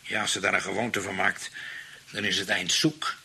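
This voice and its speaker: An actor playing a grasping, snobbish nephew, fretful and hesitant, in a radio play. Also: male voice, deep voice, level tone, normal speed, gravelly, pushed and bright, slight background noise, full character voice. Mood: grumbling.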